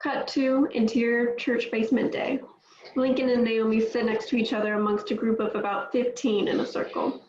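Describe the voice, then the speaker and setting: A woman's voice: fairly high pitch, flat delivery, moderate pace, a little mellow. A woman reading screenplay stage directions as scripted narration. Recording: roomy, dull lo-fi, faint noise.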